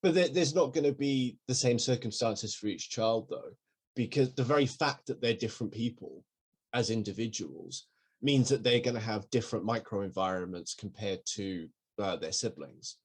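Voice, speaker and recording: Analytical British man, deep voice. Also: normal speed, husky. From Wales and England. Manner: thoughtful, analytical, some stammering restarts. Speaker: podcast co-host. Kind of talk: casual conversation.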